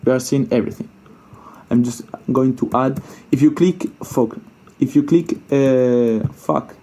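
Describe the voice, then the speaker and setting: Male tutorial presenter, soft mellow tone, informal and hesitant, thinks out loud as he demonstrates. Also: mid-range voice, unhurried. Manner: informal, hesitant thinking aloud, repeats himself, mild swearing. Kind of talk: casual unscripted screen-share coding tutorial.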